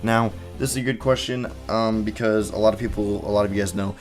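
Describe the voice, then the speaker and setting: A man's voice: on the low side, fairly even intonation, fairly quick, soft background music. An eighteen-year-old man hosting a casual Q and A for his gaming channel, rambling through answers with lots of fillers, on a headset mic. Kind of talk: casual Q and A video.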